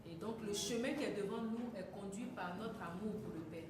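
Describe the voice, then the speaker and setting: A woman interprets a sermon phrase by phrase. She is often hesitant and rephrases herself as she goes. Her voice is mid-pitched and captured from far away in a strongly echoing hall with noticeable noise. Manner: quick, self-correcting, hesitant fillers. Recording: echoey hall from far off, noisy.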